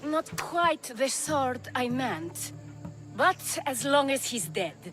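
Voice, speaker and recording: Sly courtesan, slow teasing delivery, worldly and sardonic, game cutscene with music behind. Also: female voice, high-pitched, big pitch swings, heavily acted. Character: sly, flirtatious, worldly courtesan of ancient Athens. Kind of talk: video game cutscene acting.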